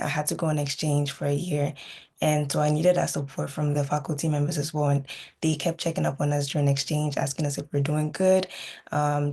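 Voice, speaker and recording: Young woman, video call, dark mellow tone, even flat pitch, relaxed casual talk. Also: vocal fry, breathy edge. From Ghana. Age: young adult. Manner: relaxed, loose, many "um" and "like" fillers. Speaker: student panelist. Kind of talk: casual webinar answer.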